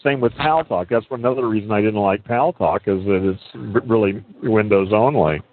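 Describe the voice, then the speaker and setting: A man chatting casually, asking eager, rapid questions. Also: on the low side. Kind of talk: casual conversation. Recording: phone-like line with faint noise.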